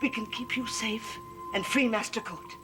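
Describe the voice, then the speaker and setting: A woman's voice: on the high side, normal speed, over music, natural voice. An actress playing a scheming, devoted servant woman with occult ways, solemn and insistent, in a 1960s gothic soap opera. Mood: persuasive, devoted.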